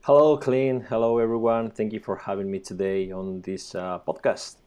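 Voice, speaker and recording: A man's voice: fairly low pitch, level tone, moderate pace, a little mellow, clear, resonant tone. A man from Spain with a Spanish accent, a native Spanish speaker, answering as a podcast guest with frequent hesitant "uh"s. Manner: hesitant, many "uh"s. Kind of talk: casual interview answers.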